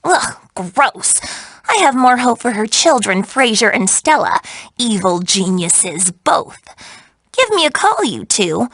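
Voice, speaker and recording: An actress playing a snide, scheming young villainess in a cartoon video game, full of contemptuous sarcasm. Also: female voice, a little high, sing-song, slow, a bit bright, no echo, exaggerated voice. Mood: snide, disdainful.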